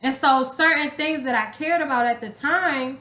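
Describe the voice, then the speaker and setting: Bright, high-pitched young woman podcaster. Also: normal speed. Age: young adult. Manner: emphatic, slangy, profanity-laced. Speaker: podcast co-host. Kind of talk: casual, candid relationship talk. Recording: echoey room.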